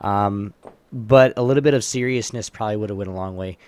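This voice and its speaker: A man's voice: lowish voice, brisk, a little mellow, smooth. A man casually hosting a trivia game on a live show, speaking informally and hesitantly as he chats and reads out facts. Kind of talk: casual chat, reading trivia aloud.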